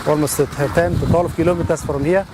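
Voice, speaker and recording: A man's voice: fairly high pitch, steady tone, a little fast. A man giving an unscripted interview answer outdoors, with some switching between languages. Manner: switches between English and Swahili. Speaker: local resident interviewed about water supply. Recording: noisy windy outdoor location sound.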